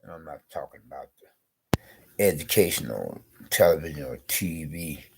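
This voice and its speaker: A man vlogging slowly and rambling, congested with a scratchy throat, repeating "you know". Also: rumbling low, expressive, vocal fry. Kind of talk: rambling personal vlog.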